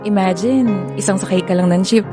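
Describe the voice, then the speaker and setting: A woman's voice: medium pitch, a little fast, close to an everyday voice. A woman with a Filipino accent playing an affectionate, independent young girlfriend in a conversational audio drama. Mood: teasing, fond. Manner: teasing, firm but fond. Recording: background music under dialogue.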